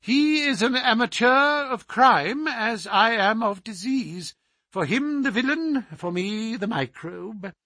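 Storybook villain: a high, penetrating, petulant voice, lively and shrill, close and dry. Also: male voice, slow pace, dry recording, exaggerated voice. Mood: feigned concern. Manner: shrill, querulous, screaming when angry. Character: petulant, sinister small frail older man.

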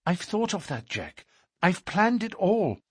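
Man doing a young woman, frightened and devoted, high urgent pleading, husky, dry recording. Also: very animated, measured pace, no echo, heavily acted. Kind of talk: audiobook character reading.

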